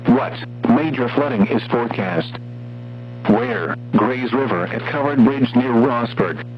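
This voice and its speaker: A synthetic text-to-speech man's voice with an American accent reads a formal, measured emergency weather bulletin over weather radio. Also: mid-range voice, very animated, soft background music. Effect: weather radio broadcast. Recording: dull noisy weather radio with hum.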